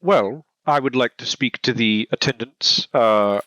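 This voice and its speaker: A man playing a tabletop game, animated and quick to offer ideas. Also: medium pitch, dry recording, muffled recording. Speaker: player. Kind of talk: in-character tabletop play.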